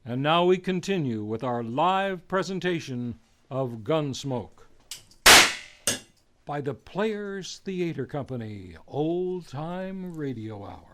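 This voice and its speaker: A man's voice: a little high, animated, slow, background noise. A man reading a scripted radio promo as the announcer. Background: plucked guitar music.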